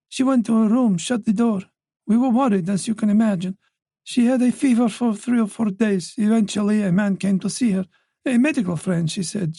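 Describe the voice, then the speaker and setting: Guy doing a high-voiced mum, older, pushy and chatty, dry close sound. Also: creaky, mellow, no echo. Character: worried older French mother, forthright and talkative.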